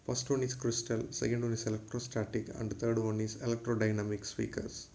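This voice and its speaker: A man's voice: on the low side, little pitch movement, a little fast, gravelly, mellow. A man giving a formal, scripted lecture, read aloud in a textbook-like way.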